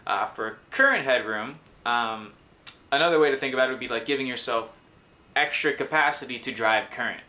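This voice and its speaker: A man's voice: medium pitch, fairly quick, pushed and bright. A man in his twenties explaining a technical project informally, as the host of his own video. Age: 20s. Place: small room indoors.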